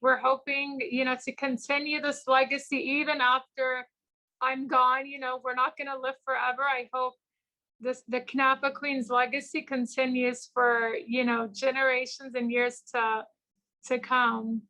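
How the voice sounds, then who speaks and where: Bubbly high-voiced woman, chatty and rambling. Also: unhurried, poor audio. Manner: chatty and rambling, full of "like" and "you know"; animated storyteller who acts out quoted people. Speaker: small business founder, guest. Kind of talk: casual interview chat.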